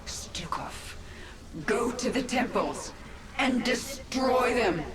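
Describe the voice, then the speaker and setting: A woman playing a grim, ruthless alien swarm queen in a video game, speaking in a cold, deliberate, commanding way. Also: a little high, animated, crowd noise. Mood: menacing. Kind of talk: dramatic video game acting. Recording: echoey, game music behind.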